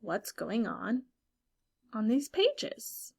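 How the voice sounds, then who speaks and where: A woman teacher doing an interactive read-aloud for young children, expressive and instructive, stressing key words and pausing after questions. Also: on the high side, gravelly, breathy edge.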